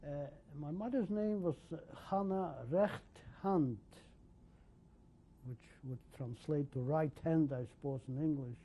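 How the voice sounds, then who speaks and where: A man in his sixties, from Poland, recalling his early life in an oral history interview, speaking haltingly with many pauses and restarts. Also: a little high, warm tone. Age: 60s. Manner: hesitant, many ums and restarts. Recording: dull lo-fi 1990s tape.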